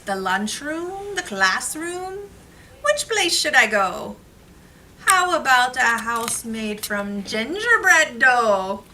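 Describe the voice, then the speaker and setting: Woman putting on a high, teasing little leprechaun trickster. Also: sing-song, slow, bright, forceful tone, exaggerated voice. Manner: teasing, sing-song rhyming. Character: sneaky, mischievous rhyming leprechaun trickster. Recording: noisy classroom, distant mic.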